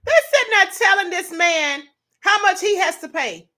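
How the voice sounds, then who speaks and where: A woman hosting her own commentary show, reacting to videos in a sassy, animated way and laughing often. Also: extremely high pitch, normal speed. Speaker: show host.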